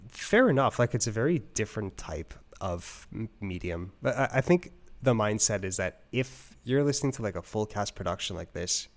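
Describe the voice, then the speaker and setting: Male podcast host, lowish pitch, informal discussion style, thinks out loud. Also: animated, moderate pace, few pauses. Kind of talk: casual review chat.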